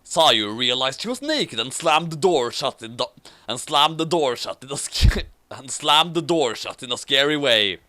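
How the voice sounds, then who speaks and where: Man with bright, lively reading voice. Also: medium pitch, moderate pace, dry recording. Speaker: story reader. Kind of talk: comedic fanfiction read-aloud with asides.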